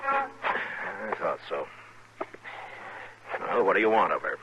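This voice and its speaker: An actor playing a patient, laconic frontier marshal, gruff but decent, with a dry, unhurried manner in a 1950s radio western. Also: male voice, low voice, huge pitch swings, bright, forceful tone, a little hiss or hum, exaggerated voice. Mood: guarded. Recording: muffled vintage radio broadcast.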